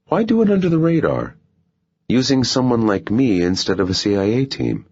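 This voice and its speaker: A man's voice: medium pitch, huge pitch swings, normal speed, a little mellow, slightly rough, pitched above the performer's own voice, exaggerated voice. A man voicing a cool, wary professional assassin in an audiobook, terse, dry and controlled. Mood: probing. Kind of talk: audiobook character dialogue. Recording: muffled, dull audio.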